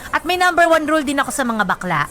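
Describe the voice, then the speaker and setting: A woman's voice: sits high, big pitch swings, fast. A sassy, playful woman with a Filipino accent co-hosting a radio show, giving casual love advice and mixing in English. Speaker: radio DJ co-host. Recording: music bed under the studio talk. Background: background music.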